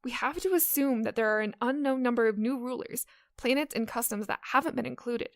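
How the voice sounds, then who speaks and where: A woman's voice: on the high side, brisk, dry recording, studio quality, close to an everyday voice. A woman playing a dutiful, studious young space recruit in a comedy audio drama, earnest and precise. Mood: patient, explaining.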